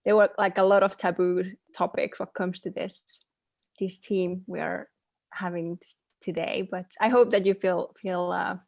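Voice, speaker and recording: A woman's voice: fairly low pitch, fairly even intonation, normal speed, gravelly, a little mellow, no room sound, studio quality. A woman from Finland, introducing herself casually and tentatively as a guest on an online call. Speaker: guest, youth team worker.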